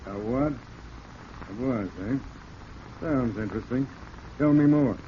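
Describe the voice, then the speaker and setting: An actor playing a shrewd, sardonic police detective who probes calmly and wryly, in 1940s radio drama style. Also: male voice, mid-range voice, slow, lightly acted. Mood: sly probing. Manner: wry, leading questions. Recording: muffled, hissy old radio recording.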